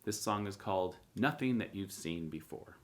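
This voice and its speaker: A man hosting a children's show, giving a gentle scripted sign-off and thanking the audience. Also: deep voice, animated, moderate pace.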